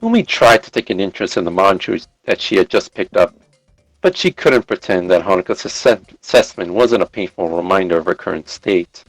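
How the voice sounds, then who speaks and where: A man's voice: deep voice, expressive, brisk, dry recording, faint music behind, low-quality recording. A man reading a story aloud as live narrator, sometimes stumbling and rereading phrases.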